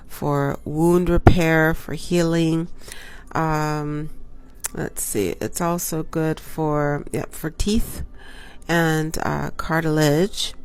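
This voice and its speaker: A woman doctor giving a casual health talk to her viewers, congested from a cold and pausing often with ums and self-corrections. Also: low-pitched. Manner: unhurried, halting with frequent ums and self-corrections. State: congested from a cold, occasional cough. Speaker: obstetrician gynecologist hosting a health show. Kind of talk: casual informational talk to camera.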